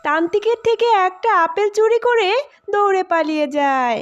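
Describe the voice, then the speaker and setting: Extremely high-pitched woman storyteller. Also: lively intonation, brisk, muffled recording. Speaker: narrator. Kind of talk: scripted cartoon story narration.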